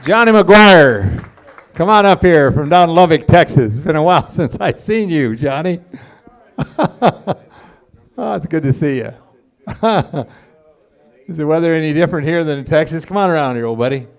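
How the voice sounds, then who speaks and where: A man's voice: on the high side, lively intonation, moderate pace, pauses often. A man hosting a student auction who introduces guests and items in a friendly, folksy way. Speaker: auction school host. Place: auction hall.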